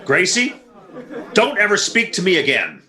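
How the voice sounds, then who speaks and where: Man playing animated, exasperated radio husband. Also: high-pitched, moderate pace, a faint crowd, heavily acted. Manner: sarcastic, huffy. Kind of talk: 1940s radio comedy acting. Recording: roomy, echoing recording.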